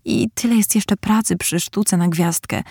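A woman voicing a bold, tomboyish teenage girl who speaks briskly and firmly. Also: mid-range voice, mellow, breathy edge, no echo, clean studio sound. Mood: lively. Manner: brisk, firm and enthusiastic.

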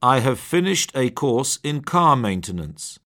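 A man reading English phrases one by one, slowly and distinctly, for a language lesson. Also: medium pitch, sing-song, pushed and bright. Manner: reads each word or phrase slowly and distinctly. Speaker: phrase reader.

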